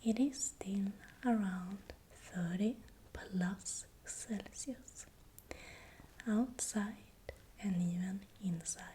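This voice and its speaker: Slow, whispering Swedish woman. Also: mid-range voice, slight background noise. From Sweden. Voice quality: breathy, unvoiced whisper. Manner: soft, deliberate, short unhurried phrases. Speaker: ASMR video creator and host. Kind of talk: whispered ASMR, explanatory narration.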